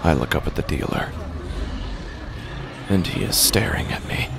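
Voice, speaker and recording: A man acting a haunted gambler who narrates his own horror story in a halting, dramatic way, with long pauses and near-whispers. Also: sits low, lively intonation, gravelly, background noise, heavily acted. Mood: tense dread. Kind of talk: scripted first-person horror narration. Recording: music bed under the voice.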